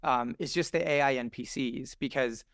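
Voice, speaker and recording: A man talking casually on a podcast in an effusive, earnest and animated way. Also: mid-range voice, moderate pace, gravelly, no room sound, studio quality.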